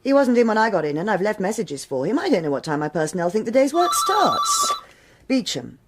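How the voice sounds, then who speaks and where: Actress as crisp British police chief, mid voice, clipped and authoritative. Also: female voice, mid-range voice, big pitch swings, a little fast, heavy background noise, lightly acted. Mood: brisk. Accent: British English. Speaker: senior police officer.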